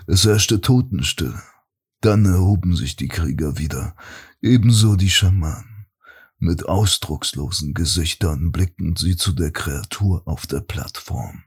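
A man as a very deep, solemn narrator. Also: breathy edge, full character voice. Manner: solemn, deliberate storytelling. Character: grave male narrator of a dark fantasy nightmare. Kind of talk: scripted narration. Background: music.

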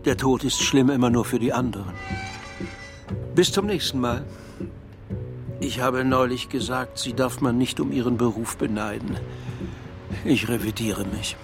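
An actor playing a reflective, philosophical man in a radio crime drama, arguing in a pensive, articulate and measured way. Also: medium pitch, creaky. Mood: wry, resigned. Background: faint background music.

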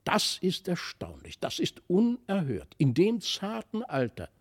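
Humble country father played by a male reader, storybook tale: fawning, exclamatory, pitched up in fluster. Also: wildly swooping pitch, measured pace, slightly rough, no echo, clean studio sound, exaggerated voice. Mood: awed amazement. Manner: effusive, pleading, exclamatory.

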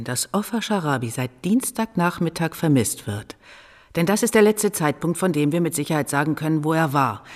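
A senior police officer in a radio drama. She is firm and businesslike, with a slightly low female voice in a reverberant room. She reasons decisively and sounds official. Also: a little mellow. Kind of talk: German radio drama acting.

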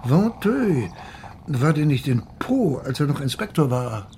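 An actor playing a dry, erudite and grumbling police deputy in a German radio play. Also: male voice, fairly high pitch, very animated, measured pace, warm tone, slight background noise. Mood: musing, learned.

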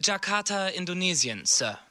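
Very bright, polite hotel receptionist. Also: male voice, high-pitched, very animated, moderate pace, full character voice. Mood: courteous. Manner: courteous, formal, deferential. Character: polite, evasive Indonesian hotel receptionist, male. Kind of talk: radio play acting.

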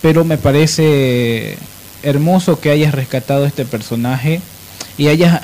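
A man's voice: on the high side, slow pace. A man hosting a live radio discussion, explaining didactically and repeating phrases for emphasis. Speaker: radio talk host. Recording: noisy live radio with steady hiss.

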